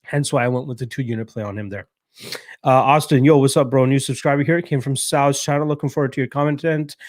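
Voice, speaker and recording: A young man hosts a casual live stream and answers viewers' comments. He talks loosely and swears freely, with plenty of fillers and false starts. His voice sits at an ordinary middle pitch, and the sound is dry and close. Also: a little mellow, dry recording. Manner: loose and profane, lots of fillers and restarts. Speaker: podcast host reading live chat.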